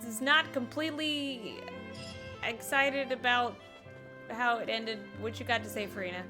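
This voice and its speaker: A woman giving casual, expressive and playful reaction commentary as she streams a game. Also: fairly high pitch, a little slow, over music.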